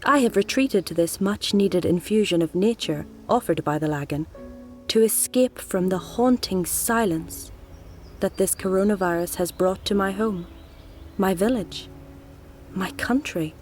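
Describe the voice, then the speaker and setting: A woman reading a literary story aloud in a measured, deliberate way, as a scripted narration. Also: medium pitch, darkish tone, soft background music. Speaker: actor reading a nominated story.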